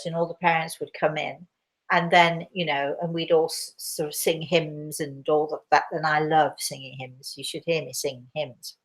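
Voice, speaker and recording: Chatty woman with a croaky voice. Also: deep voice. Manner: chatty, rambling, many restarts. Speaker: host answering viewer questions. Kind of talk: casual live chat.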